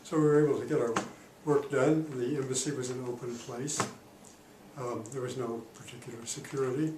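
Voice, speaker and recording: A man in his eighties, a retired diplomat, recalling his career in an oral history interview, pausing often with fillers. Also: medium pitch, fairly even intonation. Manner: halting, many pauses and fillers. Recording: roomy, distant mic, faint noise.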